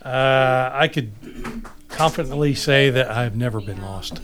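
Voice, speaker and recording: A man in casual chat, speaking hesitantly with frequent pauses and fillers. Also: mid-range voice, fairly bright tone, faint music behind.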